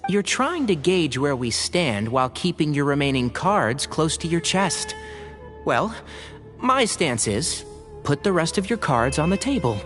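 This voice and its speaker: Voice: medium pitch, very animated, unhurried, a bit bright, faint music behind. An actor playing a composed, analytical male scholar who speaks in a precise way, in a game cutscene.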